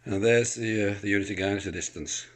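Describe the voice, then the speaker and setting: A man's voice: fairly low pitch, fairly even intonation, quick pace. A man giving a casual, unscripted demonstration of an item he is selling, rambling and hesitant, recorded on a handheld phone in a living room. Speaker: private seller showing an item for sale. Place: living room of a home apartment, indoors. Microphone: handheld phone held by the speaker behind the camera.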